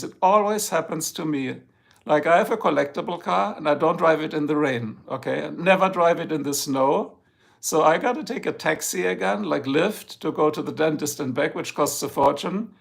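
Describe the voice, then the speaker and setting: A man's voice: a little high, creaky. An older man from Germany with a German accent, hosting a rambling, jokey live stream chat from home and talking to his viewers by name. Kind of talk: casual chat. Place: home, in Ohio.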